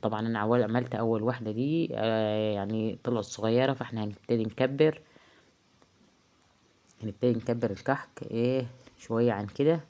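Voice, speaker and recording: A woman with an Egyptian accent, hoarse from a heavy cold, chatting casually through a cooking tutorial in her home kitchen, halting often with drawn-out filler sounds. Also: extremely low pitch, steady tone, dark tone. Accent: Egyptian Arabic. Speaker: home cook vlogger. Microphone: phone.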